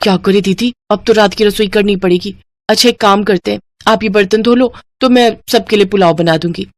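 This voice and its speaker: A woman's voice: medium pitch, a little fast, a little hiss or hum, close to an everyday voice. A woman voicing a lazy, pampered younger sister-in-law, whiny, cheeky and defiant, in a family moral story. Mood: pleading.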